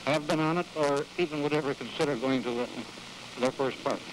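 A man's voice: on the high side, fairly quick. Newsman on a noisy newsreel track. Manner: blunt, informal, often rephrases questions. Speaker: news interviewer. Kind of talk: news interview questioning. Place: outdoors on a building porch. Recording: noisy 1950s newsreel recording.

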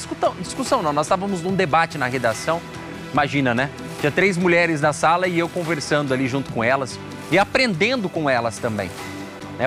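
Young Brazilian male news presenter, music behind. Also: fairly high pitch. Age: young adult. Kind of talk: live news commentary, conversational. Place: TV studio. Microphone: lapel microphone. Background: music bed.

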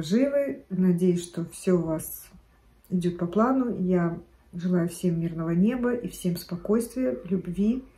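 Middle-aged woman vlogger, mellow tone, chatty and digressive, filmed in a living room. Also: medium pitch, poor audio. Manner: chatty, digressive, gestures a lot while talking. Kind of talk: casual chat to camera. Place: living room at home, indoors.

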